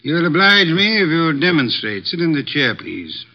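A man's voice: on the high side, big pitch swings, normal speed. An actor playing a pompous, commanding male detective in a 1950s radio drama, speaking in formal, pedantic sentences, on a muffled old broadcast recording. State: short of breath.

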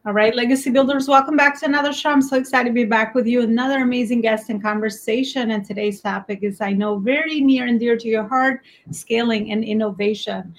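A woman's voice: on the high side, steady tone, a little fast. A woman with an Albanian accent hosting an interview show over a video call, upbeat and enthusiastic. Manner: enthusiastic, repeats "amazing". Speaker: podcast host. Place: home office in New York.

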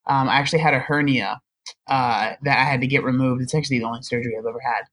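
A young man speaks as a podcast guest at a middling pitch. He tells his story in a chatty, self-deprecating way and laughs easily. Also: fairly even intonation, very fast, fairly bright tone. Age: young adult. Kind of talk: casual storytelling interview.

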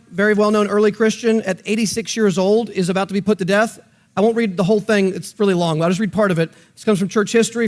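A man teaching the Bible conversationally and emphatically, repeating phrases to drive his points home and reading passages aloud. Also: high-pitched. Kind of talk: conversational Bible teaching with read-out scripture and quotations.

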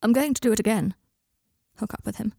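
Woman voicing a sardonic young man, mellow and dry. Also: fairly high pitch, brisk, vocal fry, breathy edge, dry recording. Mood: anguished, obsessive. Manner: sarcastic, rambling confessions with abrupt breaks. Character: sardonic, self-deprecating young man in therapy, deflecting and gloomy. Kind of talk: audiobook character dialogue.

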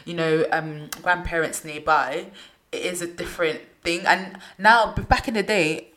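A woman's voice: on the low side, fairly even intonation, normal speed, fairly bright tone. A woman from Nigeria, hosting a casual chat and telling her stories with animation. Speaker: host.

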